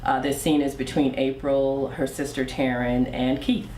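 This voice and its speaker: A woman with a very low voice presents a screenplay, halting with frequent uhs. The recording is echoey and a little distant. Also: level tone, a little mellow. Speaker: screenwriter presenting their script. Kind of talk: spoken introduction of a script and scene. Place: theater stage. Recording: echoey theater, distant mic, faint noise.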